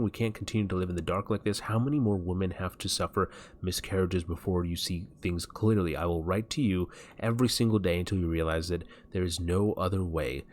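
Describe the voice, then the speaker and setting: A man's voice: sits low, a little hiss or hum. A man streaming a game, chatting casually and joking as he reads game text aloud. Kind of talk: casual gameplay chat. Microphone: desk mic.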